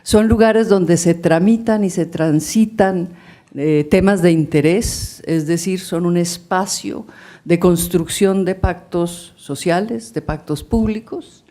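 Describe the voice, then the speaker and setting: A moderator opening a civil society panel discussion in a lecture hall, speaking thoughtfully with pauses and fillers. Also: medium pitch, mellow.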